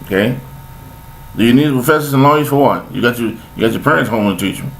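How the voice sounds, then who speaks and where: A man's voice: medium pitch, fast talker, creaky, rough recording. An older man, talking in a rambling, preachy monologue, repeating himself with folksy sayings. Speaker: vlogger giving life commentary.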